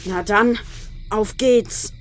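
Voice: medium pitch, extremely slow, faint music behind. An actor in a children's audio drama playing a sensible, practical child adventurer who speaks earnestly.

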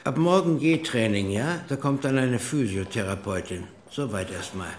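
An actor playing a matter-of-fact hospital doctor who speaks to a patient in short, clipped phrases in a naturalistic radio play. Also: male voice, mid-range voice, animated, normal speed, a little hiss or hum, lightly acted.

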